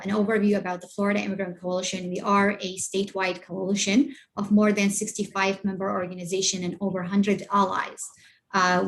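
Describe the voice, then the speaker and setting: A middle-aged woman from Iraq, a refugee organizer, giving a presentation over a video call and filling pauses with many uh and um sounds. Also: medium pitch, rough recording. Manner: halting, many uh and um fillers. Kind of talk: online presentation with shared slides.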